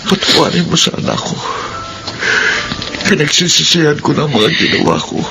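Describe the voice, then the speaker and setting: A man's voice: on the high side, sing-song, moderate pace, creaky, over loud music, poor audio. An actor playing a remorseful young man begging forgiveness in a classic radio drama, talking in a pleading way. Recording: hissy old radio broadcast.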